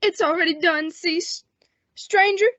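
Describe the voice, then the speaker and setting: A woman's voice: extremely high pitch, a little slow, fairly bright tone, no room sound. A teenage woman playing a wounded, dying male sheriff in an old-time western radio drama, with a strained, folksy delivery. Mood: resigned. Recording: dull, dry lo-fi radio recording.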